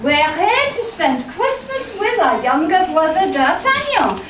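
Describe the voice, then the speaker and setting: A woman plays an excitable, bossy musketeer. The delivery is slow and hammy, with pitch swinging wildly up and down. It is caught from a distance in an echoey, noisy hall. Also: exaggerated voice. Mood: eager, welcoming. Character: bossy, excitable male French musketeer in comic squabbles. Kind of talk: amateur Christmas pantomime acting. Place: live amateur stage in a hall. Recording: echoey hall, distant mic, clear noise.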